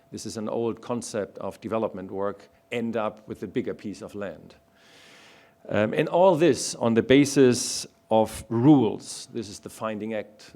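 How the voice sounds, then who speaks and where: A man from Germany, speaking as a panelist in a public discussion and making his points one by one in a measured way. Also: on the low side.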